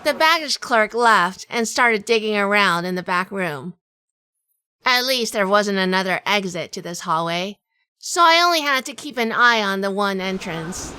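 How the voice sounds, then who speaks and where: A woman playing a tough, wary noir heroine who narrates her crime story in a crisp, self-possessed way. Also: medium pitch, expressive, normal speed, sharp tone. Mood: wary, cool. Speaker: narrator and lead actress of audio drama.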